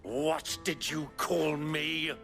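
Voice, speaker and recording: An actor playing a gruff, hot-tempered older warrior uncle in a video game, blustering and scornful. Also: male voice, on the high side, flat delivery, extremely slow, a bit bright, faint music behind, heavily acted. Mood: indignant.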